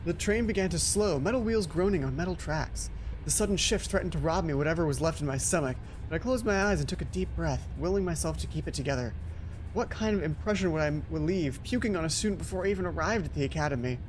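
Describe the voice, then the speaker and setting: A man's voice: a little high, expressive, quick pace, a little hiss or hum. A man hosting a gaming video, reading game text aloud and breaking off into casual asides, sometimes stumbling over the words. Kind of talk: let's play commentary mixed with reading visual novel text aloud.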